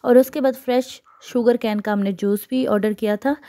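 A woman's voice: fairly high pitch, steady tone, normal speed, dark tone, no room sound. A young adult woman, chatting casually as she narrates her own vlog. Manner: chatty, conversational, with frequent fillers. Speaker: vlogger.

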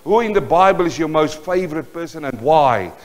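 A man's voice: fairly high pitch, brisk. An older man from South Africa with an Afrikaans accent, preaching a storytelling sermon in a small church hall and talking directly to the congregation. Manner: animated, gesturing widely, talks to the congregation. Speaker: pastor.

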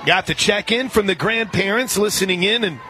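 A man calling softball play-by-play for radio in a conversational, folksy way, with asides between the calls. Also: high voice, moderate pace, noisy background. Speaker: radio play-by-play sports announcer. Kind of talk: live softball commentary. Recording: crowd murmur behind broadcast voice. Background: outdoor stadium crowd.

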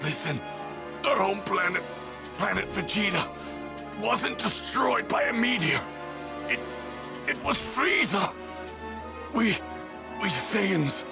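A proud, bitter warrior prince at death's door in an anime game. His gravelly voice sits high and comes slowly, gasping with strain, over clear background music. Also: full character voice. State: gasping, dying. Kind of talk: dramatic anime game voice acting. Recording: loud dramatic music behind.

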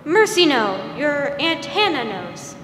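A woman acts a mysterious little ghost girl in old radio drama style. She speaks slowly and quaintly in a high voice. The sound is echoey, from a theater stage. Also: very animated, crowd noise, heavily acted. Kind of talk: 1940s radio drama acting. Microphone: stand microphone, masked performer. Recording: echoey theater hall, faint noise.

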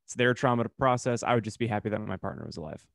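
A man's voice: fairly low pitch, lively intonation, racing, vocal fry, no room sound. Earnest man, dry close sound. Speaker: podcast co-host. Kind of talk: casual conversation. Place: home room, indoors.

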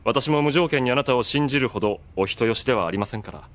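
A man's voice: medium pitch, expressive, fast, a bit bright, natural voice. An actor playing a calculating, unruffled man with polite, cutting speech in a Japanese anime audio drama. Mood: cool, sardonic.

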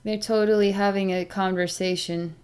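Halting, meditative middle-aged woman. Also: medium pitch, fairly even intonation, darkish tone, smooth. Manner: halting, meditative, with long pauses and sighs. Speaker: animal communicator, psychic. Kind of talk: slow narration of a psychic session with pets, thinking aloud. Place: small plain room indoors.

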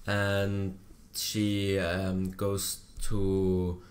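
Austrian guy in his 20s, german accent, lots of um's, slow and low. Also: monotone, slight background noise. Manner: hesitant, many fillers. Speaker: podcast guest, comedian. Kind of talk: casual chat.

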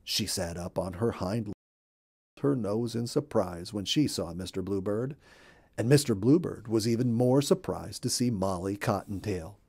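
Mellow middle-aged man reading a children's story. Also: on the low side, normal speed, creaky, breathy edge. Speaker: storyteller, audiobook reader. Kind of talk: scripted children's story narration. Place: indoor room.

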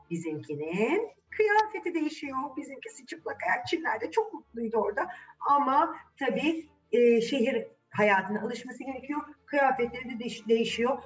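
A woman's voice: medium pitch, far from the mic, muffled recording. A woman telling a fairy tale to children conversationally, addressing them directly with questions. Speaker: children's storyteller.

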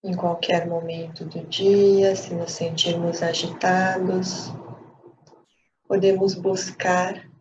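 Woman, Brazilian accent, meditation teacher, lowish voice, calm short phrases, long pauses, dull lo-fi recording. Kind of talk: guided meditation instruction. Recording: dull lo-fi sound with light background noise.

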